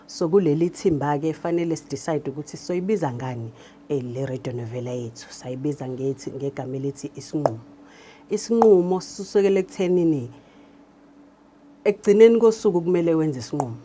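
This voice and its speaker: A woman's voice: deep voice, expressive, quick pace, dark tone, a little hiss or hum. A woman with a South African accent explaining her work in an interview, code-switching as she goes. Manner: explanatory, switches between Zulu and English. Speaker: radio drama director. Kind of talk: interview talk.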